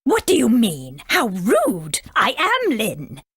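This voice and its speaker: An actress playing a proud, aged female sage in a video game, husky, formal and haughty, stern yet kindly. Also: mid-range voice, wildly swooping pitch, slow pace, no echo, clean studio sound, exaggerated voice. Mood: indignant.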